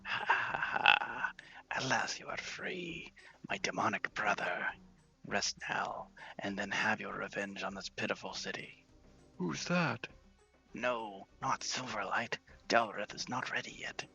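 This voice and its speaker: Man acting a menacing whispering dark wizard. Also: fairly low pitch, lively intonation, unhurried, vocal fry, slightly rough, faint music behind, exaggerated voice, low-quality recording. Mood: gloating, menacing. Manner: theatrical, menacing. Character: sinister dark wizard summoning his demon brother. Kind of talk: game dialogue read as ASMR.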